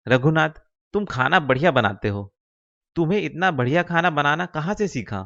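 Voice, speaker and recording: A man's voice: a little high, lively intonation, moderate pace, fairly bright tone, highs cut off. A man playing a simple, dutiful young village man in a cartoon story, mild and agreeable in the way he talks. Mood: friendly. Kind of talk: Hindi cartoon moral-story voice acting.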